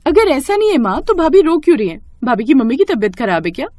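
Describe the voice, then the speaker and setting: Woman acting an outspoken young sister-in-law, high-pitched. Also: animated, mellow, dry recording, clean studio sound. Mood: blunt concern. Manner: brisk, scolding, emphatic.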